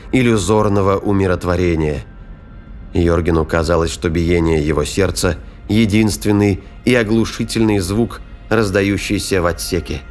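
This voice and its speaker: A man narrating an audio drama in a dramatic, scripted storytelling style. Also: fairly low pitch. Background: music.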